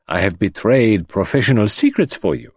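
A man voicing a courteous, shrewd detective in an audiobook, with a gentle, ironical, emphatic manner. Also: lowish voice, big pitch swings, normal speed, no echo, studio quality. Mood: evasive, courteous. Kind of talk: audiobook character voice.

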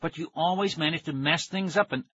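A man voicing an excitable, anxious but stubborn boy who talks in an urgent, exasperated, pleading way. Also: fairly high pitch, brisk, husky, dry recording, highs cut off, voice pushed up.

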